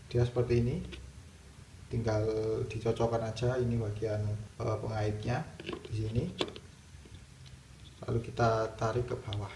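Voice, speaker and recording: A man narrating a casual hands-on tutorial, explaining it step by step as the host. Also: medium pitch. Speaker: video host demonstrating product installation. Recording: roomy, distant mic, clear background noise.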